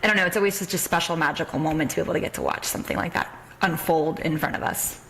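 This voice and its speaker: Low-voiced woman hosting, some background noise. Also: rapid-fire, a bit bright. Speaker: podcast host interviewing an author. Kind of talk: casual, conversational interview.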